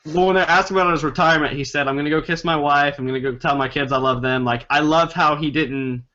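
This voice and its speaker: An easygoing young man talks sports on a podcast and laughs easily. His voice is bright and forward, and the recording sounds dull and lo-fi. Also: on the high side, steady tone, quick pace. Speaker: podcast co-host. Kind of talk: casual sports chat.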